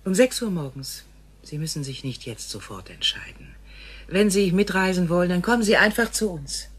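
A woman playing a grave, gentle female alien visitor in a science fiction radio play, speaking in formal, measured phrases. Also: on the low side, lively intonation, close to an everyday voice. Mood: resigned, calm.

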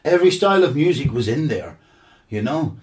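A man's voice: medium pitch, sing-song, fairly quick, darkish tone, far from the mic. A man guest musician chatting casually, enthusiastic and rambling with repeated words. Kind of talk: casual chat.

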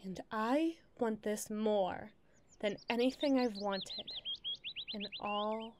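A woman's voice: medium pitch, slow, heavy background noise, natural voice. A woman voicing an obsessive, masochistic lovesick girl in a theatrical audio roleplay, needy and taunting. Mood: menacing, coy. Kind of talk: dramatic ASMR roleplay monologue.

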